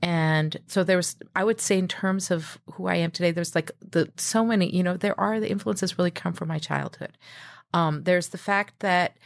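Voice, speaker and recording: A woman's voice: lowish voice, moderate pace, vocal fry, dry recording, clean studio sound. A middle-aged woman who grew up in Mexico, talking casually as an interview guest, hesitant with many fillers and restarts.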